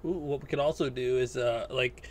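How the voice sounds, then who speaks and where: A man co-hosting a podcast, chatting casually about games and movies in an excitable, sweary way. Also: medium pitch, normal speed, a little hiss or hum. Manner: excitable, profane. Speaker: podcast co-host.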